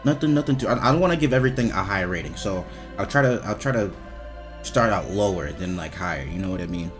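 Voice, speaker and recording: A man's voice: low-pitched, animated, quick pace, over music. A young man giving casual, rambling game commentary, hesitant and repetitive, with informal swearing. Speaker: video game reviewer.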